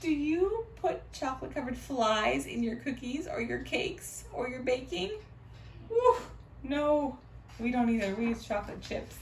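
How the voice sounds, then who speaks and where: A woman reading a storybook aloud to children in an expressive, teacherly way. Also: sits high, measured pace. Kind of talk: animated read-aloud with questions to children. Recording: roomy, distant mic, faint noise.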